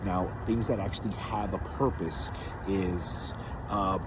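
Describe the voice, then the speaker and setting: A man with a husky voice giving a rambling, unscripted spiritual monologue, emphatically repeating phrases for stress as he hosts. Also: low-pitched, fairly even intonation, slow, heavy background noise. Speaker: host of a spiritual series.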